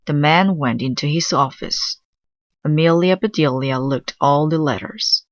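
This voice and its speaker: A woman narrating a children's storybook aloud in a measured, deliberate way. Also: sits low, no room sound, highs cut off. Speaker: storybook reader.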